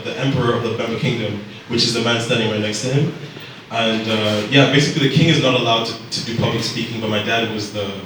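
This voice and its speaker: A young man in his twenties from Zambia telling his life story to an audience in a relaxed, halting way with many fillers. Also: medium pitch, noisy background. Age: 20s. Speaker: speaker at a storytelling event. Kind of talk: personal storytelling talk. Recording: echoey room picked up from a distance.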